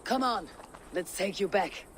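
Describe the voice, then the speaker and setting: A firm Viking warrior. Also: male voice, sits high, creaky, a little hiss or hum, exaggerated voice. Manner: calm, firm, measured. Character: steady male Viking warrior leader. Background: game score.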